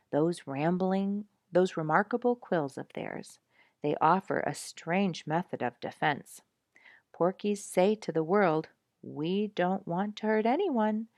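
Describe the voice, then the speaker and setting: A woman narrating a nature story from a script in a measured, emphatic storytelling manner. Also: on the low side, a little mellow, no echo. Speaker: audiobook narrator reading a nature memoir.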